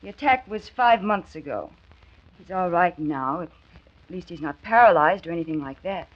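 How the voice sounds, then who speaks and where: A woman's voice: fairly low pitch, lively intonation, moderate pace. An actress playing an earnest, caring, slightly hesitant female assistant in a 1940s radio drama. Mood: worried. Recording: muffled old 1940s radio recording.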